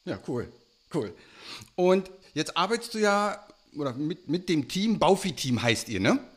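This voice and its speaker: Male podcast host, casual questions, short affirming replies, slightly high and very lively pitch. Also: unhurried.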